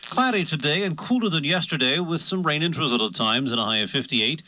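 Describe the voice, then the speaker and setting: A man reading a radio weather forecast in a brisk, scripted announcer delivery. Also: fairly high pitch, animated, pushed and bright, no echo, poor audio.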